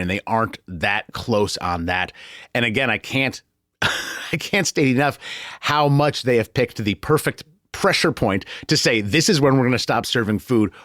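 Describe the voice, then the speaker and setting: A man's voice: on the low side, fairly bright tone, no room sound, studio quality. An American man hosting a conversational news podcast in a colloquial, joking way. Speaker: podcast host.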